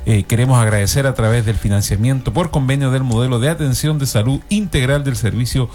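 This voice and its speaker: A man's voice: mid-range voice, quick pace, a little mellow. A man with a Chilean accent hosting a community health radio panel, speaking formally with frequent fillers. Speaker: radio host.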